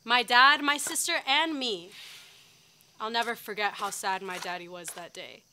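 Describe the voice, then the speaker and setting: A young actress playing a heartfelt farmworker daughter recalling hardship in a dramatized monologue. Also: female voice, on the high side, moderate pace, pushed and bright, a little hiss or hum. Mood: wistful. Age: young adult. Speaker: actor performing a monologue. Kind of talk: dramatized oral history monologue.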